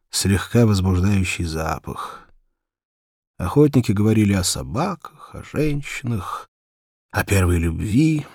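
A man narrating a short story as an audiobook reader, in an expressive literary style. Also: lowish voice, no room sound, studio quality.

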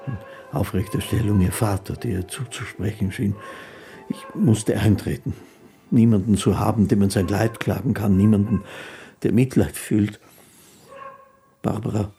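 An actor playing a meek, dreamy poor musician, telling his life haltingly and earnestly in a radio play. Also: lowish voice, vocal fry, dark tone. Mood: sorrowful, hesitant. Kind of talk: first-person radio play narration.

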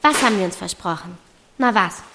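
A woman's voice: medium pitch, a little fast, noisy background, natural voice. A woman playing a brash, defiant thirteen-year-old girl in a radio play, with a pushy, snappy, slangy way of talking. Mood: insistent. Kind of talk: German radio play acting.

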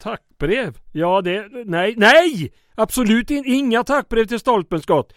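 A man's voice: high voice, expressive, a bit bright, no room sound, studio quality. A man playing a flustered, wordy and evasive small-town mayor in an old-time radio comedy drama. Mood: alarmed. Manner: wordy, formal, stammering when flustered.

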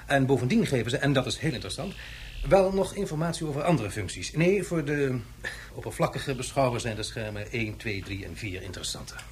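An actor plays a fussy professor in a radio play, with a mid-pitched male voice. He explains eagerly and hesitantly over faint noise. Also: big pitch swings, a little fast, close to an everyday voice. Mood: eager, explaining. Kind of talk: radio drama acting.

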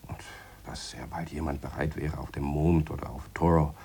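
An actor playing a deliberate, wry scientist in a radio play, explaining his theories in a measured, dry manner. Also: rumbling low, fairly even intonation, mellow, husky, exaggerated voice. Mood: dry, pondering. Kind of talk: radio play dialogue in a reflective, lecturing tone.

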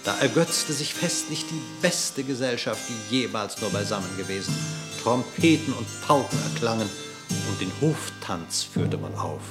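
Man, radio play narrator, low voice, measured epic storytelling, soft music behind. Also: animated. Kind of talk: scripted storybook narration in verse.

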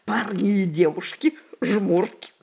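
An actress playing a spirited, imperious old woman in a radio play, coaxing and reminiscing in an expressive, theatrical way. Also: medium pitch, slow pace, vocal fry, slightly rough, heavily acted. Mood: wistful, excited. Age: older.

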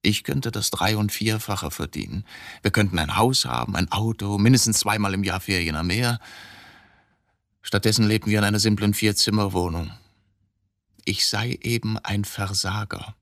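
A man's voice: lowish voice, moderate pace, fairly bright tone, dry recording, clean studio sound. An actor playing an embittered, middle-aged male court reporter suspected of murder in a German radio drama, brooding and agitated, given to rhetorical questions. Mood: bitter, confessional.

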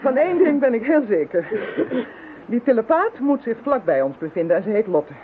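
Tinny recording, woman acting determined psychic lady, swingy pitch, emphatic, radio drama. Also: big pitch swings, brisk. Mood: assured. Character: fierce, determined woman leading psychic fight against villains. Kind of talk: Dutch audio drama acting. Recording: thin phone-like sound, faint noise.

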